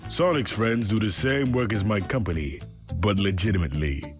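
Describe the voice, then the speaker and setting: A suave, older cartoon opossum crime boss, played by a man, fairly low in pitch. He is courteous and smug, with measured menace, over clearly audible music. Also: close to an everyday voice. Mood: smug, scheming. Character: suave older male opossum villain businessman. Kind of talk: comic dub voice acting.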